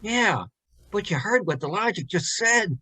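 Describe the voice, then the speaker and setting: An actor playing a gruff, jokey married workman with an American accent and folksy slang, in a radio drama. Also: high-pitched, animated, a little slow, sharp tone, no room sound, exaggerated voice. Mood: curious, jokey. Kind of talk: old-time radio drama acting.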